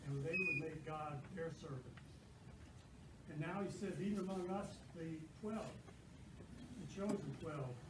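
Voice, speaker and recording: Echoey, distant live church drama: male actor as earnest biblical disciple, declamatory, slightly high-voiced, troubled. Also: noisy background. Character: troubled apostle, a daring man known for doubting. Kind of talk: church stage monologue.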